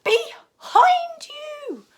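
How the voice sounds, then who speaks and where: A woman voicing alarmed, bossy family members in a picture book, with loud, drawn-out, emphatic warnings. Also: extremely high pitch, animated, voice pushed up, full character voice. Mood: panicked.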